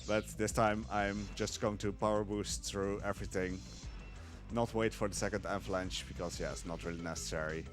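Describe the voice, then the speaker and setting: A man with a Dutch accent talks through his speedrun in a casual, explaining way. His voice sits a little low. Faint game music plays behind him. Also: fairly even intonation, normal speed. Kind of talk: live speedrun commentary.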